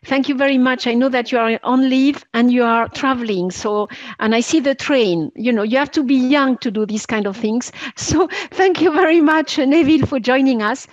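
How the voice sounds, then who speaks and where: A woman's voice: high-pitched, moderate pace, few pauses, no echo. Woman, webinar moderator, hesitant, many fillers, dull lo-fi call sound. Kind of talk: moderating an online session. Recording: dull lo-fi online call audio.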